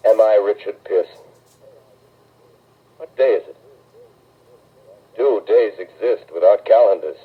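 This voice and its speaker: An actor playing a haunted, exhausted astronomy professor in a 1930s radio drama, a man speaking gravely and deliberately with broken pauses. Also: fairly low pitch. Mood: anguished, bewildered. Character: haunted, exhausted male astronomy professor, lone survivor of invasion. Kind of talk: 1930s radio drama acting, first-person monologue. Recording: muffled, thin vintage radio broadcast recording.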